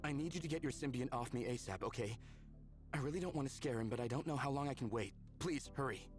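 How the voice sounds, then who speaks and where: A man's voice: mid-range voice, fast, faint music behind, natural voice. A man voicing a nervous, hesitant character in a sci-fi visual novel, speaking politely and awkwardly. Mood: tense, pleading. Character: nervous, hesitant man meeting an alien creature.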